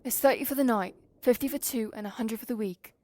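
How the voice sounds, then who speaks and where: A woman acts out a young high elf who runs a fantasy inn. Her voice sits fairly high, and she speaks formally, with a weary, curt edge suited to audio drama. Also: a little fast, a little mellow, lightly acted. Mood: apologetic.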